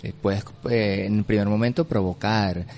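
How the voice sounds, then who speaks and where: Male interview guest, Venezuelan accent. Low-pitched, emphatic, spontaneous delivery over audible ambient noise. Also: steady tone, normal speed, darkish tone. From Caracas, Venezuela. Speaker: film festival director, interview guest. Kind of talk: spontaneous radio interview, opinionated explanation. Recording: clearly audible background noise.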